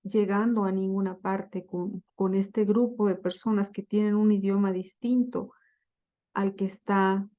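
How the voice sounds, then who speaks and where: A woman giving a slide-based lecture on Bible translation, speaking deliberately with frequent fillers and self-corrections. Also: medium pitch, fairly even intonation, lo-fi sound.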